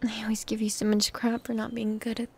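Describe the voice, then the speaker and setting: A woman playing a jealous, needy elf wife in an intimate ASMR roleplay, speaking softly and haltingly with a sulky edge. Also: fairly high pitch, completely flat delivery. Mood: insecure, vulnerable. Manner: soft, breathy, halting, sulky delivery. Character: jealous, possessive, needy tsundere elf wife, female warrior.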